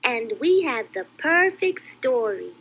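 A woman's voice: high voice, very animated, slow, a bit bright, slightly rough, exaggerated voice. A woman voicing a curious, eager little girl puppet in a children's bedtime show. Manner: childlike, inquisitive.

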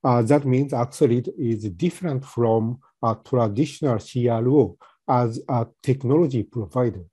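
Halting soft-spoken man presenting. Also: medium pitch, husky, no echo. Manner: halting, many fillers and restarts. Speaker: company presenter. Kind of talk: webinar presentation.

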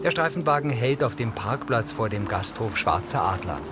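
A man's voice: on the low side, expressive, normal speed, over loud music. A man reading scripted, matter-of-fact audio description for a TV crime comedy.

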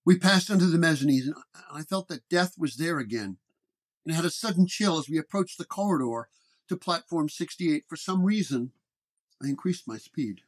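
A man's voice: a little high, animated, normal speed. A man narrating a first-person detective horror story in a dramatic storytelling manner. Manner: storytelling, acting out dialogue.

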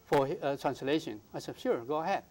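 An older man whose first language is Mandarin, a poet guest in a TV studio interview, talking in a halting way with many fillers and restarts on a lapel mic. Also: fairly high pitch, lively intonation, noisy background. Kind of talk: interview conversation. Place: TV studio, seated.

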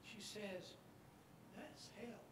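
A man in a church class slowly telling a personal story. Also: high voice. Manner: slow, storytelling. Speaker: class member. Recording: echoey room, distant mic, noticeable noise.

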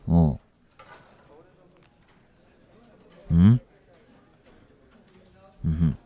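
An actor playing a proud, aloof teenage boyfriend in a romance voice drama, curt and dismissive, later feverish and weak. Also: male voice, fairly low pitch, warm tone, no echo. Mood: cool, curt.

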